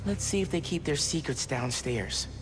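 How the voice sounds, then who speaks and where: An actor playing an earnest, low-key teenage superhero in a video game, speaking in a quiet, understated way with dry curiosity. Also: male voice, expressive, moderate pace, heavy background noise, close to an everyday voice. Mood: focused. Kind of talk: video game cutscene acting.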